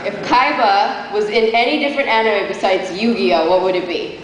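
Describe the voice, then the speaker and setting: A woman's voice: medium pitch, expressive, moderate pace. A woman casually asking questions as an audience member at a panel. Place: convention panel room. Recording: very echoey hall with crowd noise.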